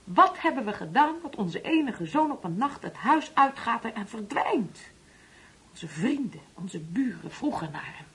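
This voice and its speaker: Radio play mother: middle-aged, anxious hostess, lively delivery, markedly husky. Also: on the high side, a little slow, full character voice, low-quality recording. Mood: anguished. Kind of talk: Dutch radio play acting.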